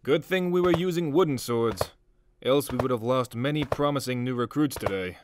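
A man's voice: mid-range voice, normal speed, background noise, close to an everyday voice. A man playing an encouraging army drill instructor in a comic dub, authoritative yet friendly. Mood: chiding, curious. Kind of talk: dramatic comic dub acting.

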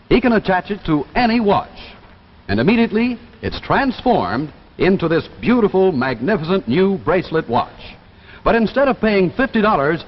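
Male American TV announcer, 1950s commercial style, lively and polished, dull reverberant vintage soundtrack. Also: sits high. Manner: polished, emphatic. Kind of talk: 1950s television sales pitch. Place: television studio.

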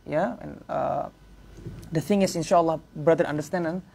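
Indonesian-accented male teacher, 30s, husky gravelly tone, halting informal lecture style. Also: on the high side, a little mellow. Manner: halting English, frequent "yeah" and "uh" fillers. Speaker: religious teacher. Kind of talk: informal lecture to a small class. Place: prayer room, indoors.

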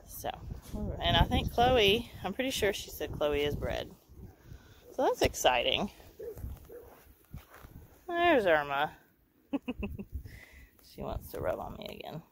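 A goat breeder gives a casual, chatty farm tour, laughing softly and talking to her goats as she walks around an outdoor pen. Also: lowish voice, sing-song, slow pace, creaky, pauses often, background noise. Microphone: handheld camera.

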